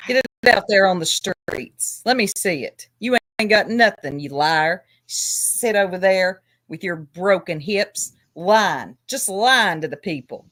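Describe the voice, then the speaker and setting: A woman's voice: mid-range voice, animated, a little slow, hardly any pauses, a bit bright, dry recording. A woman hosting a casual panel chat, mocking and taunting someone with frequent laughter. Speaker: host.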